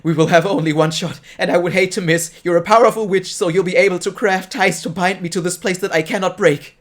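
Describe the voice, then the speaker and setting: A cunning, wicked hunter voiced by a man reading aloud makes his case loudly and persuasively. His voice sits a little above the reader's own. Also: high-pitched, fairly even intonation, a little fast, pitched above the performer's own voice, natural voice.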